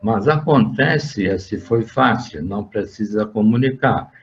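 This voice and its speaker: An older man from Hungary with a Hungarian accent, giving an interview and recounting his working life, speaking haltingly with many fillers and restarts. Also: on the low side, animated. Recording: dull lo-fi sound.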